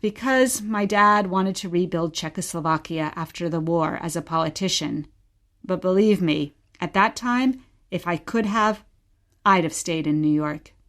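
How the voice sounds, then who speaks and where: A woman's voice: on the low side, measured pace, a bit bright. A woman playing a wistful mother reminiscing about exile and telling her story simply in a radio play. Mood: reflective. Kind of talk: short radio play dialogue, bedtime storytelling.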